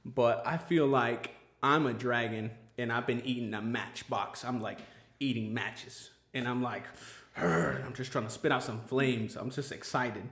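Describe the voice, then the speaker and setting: A man's voice: medium pitch, a little slow, fairly bright tone, echoey room. A man hosting a casual podcast chat, talking earnestly with lots of fillers and restarts. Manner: rambling, filler-heavy, earnest. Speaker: podcast host.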